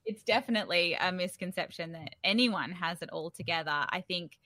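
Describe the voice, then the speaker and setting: Interview guest, woman. Forward, bright tone, very dry close sound, informal and encouraging. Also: fairly low pitch, a little fast, dry recording. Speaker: startup founder, interview guest. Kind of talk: casual conversational interview.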